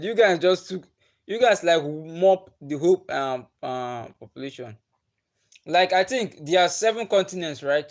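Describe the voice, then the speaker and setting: A young Nigerian man, a native Igbo speaker, casually chatting with viewers on a livestream and asking them questions. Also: on the high side. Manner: chatty, laughs often, asks viewers questions. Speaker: livestream host. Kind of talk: casual chat with live viewers. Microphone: phone or webcam on a livestream.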